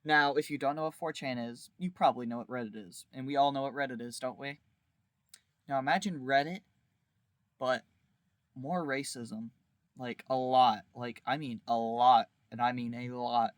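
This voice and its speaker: A man's voice: mid-range voice, fairly even intonation, normal speed, no room sound. A man giving casual video commentary in a wry, informal way, leaning on emphatic exaggeration. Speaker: video creator reviewing a visual novel.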